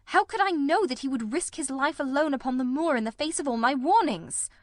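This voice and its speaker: A performer voicing a shrewd, grimly determined male detective in a radio mystery, speaking formally and deliberately. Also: very high-pitched, no room sound, studio quality. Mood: anguished. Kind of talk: old-time radio mystery drama.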